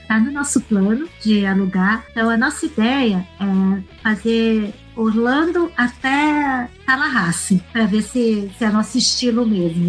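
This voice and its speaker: Chatty Brazilian woman, fairly high voice. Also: low-quality recording. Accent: Brazilian Portuguese. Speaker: podcast guest. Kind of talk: casual chat.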